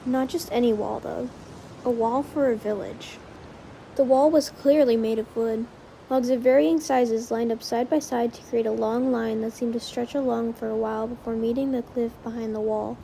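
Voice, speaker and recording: A woman's voice: fairly high pitch, steady tone, moderate pace, creaky, warm tone, slight background noise, low-quality recording. A woman narrating a story from a script, as in an audiobook of fan fiction.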